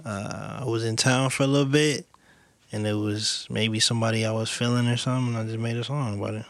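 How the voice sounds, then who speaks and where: A man with a deep voice in relaxed casual chat, drawling in slang and saying bro a lot. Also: fast, no echo.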